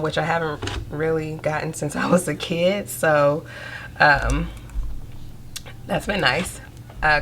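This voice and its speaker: Low-pitched woman, stuffy from a cold, gravelly tone, counting cash out loud, noisy home recording. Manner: counts money aloud in a steady murmur. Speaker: budgeting vlogger. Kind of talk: casual talk while counting cash.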